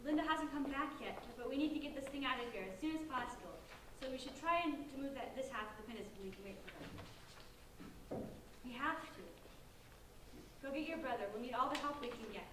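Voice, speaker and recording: A woman playing a bossy, determined sixteen-year-old girl in a staged play reading, giving brisk orders. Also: high voice, natural voice. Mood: urgent, impatient. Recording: roomy, distant, noisy stage recording.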